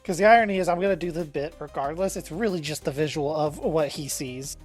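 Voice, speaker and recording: Female tabletop player, slightly low register, casual conversational delivery with music behind. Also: fairly quick, darkish tone. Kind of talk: casual chat.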